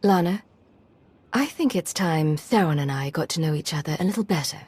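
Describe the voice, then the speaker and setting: Low-voiced, composed female agent. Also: animated, a little fast, a little mellow, breathy edge. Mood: calm. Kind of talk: dramatic video game acting.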